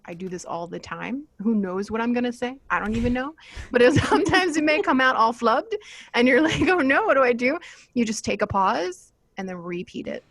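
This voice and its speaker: A woman's voice: on the high side, animated, a little fast, fairly bright tone, a little hiss or hum. A woman moderating a casual panel talk, giving advice in an informal, chatty, encouraging way. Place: live panel discussion.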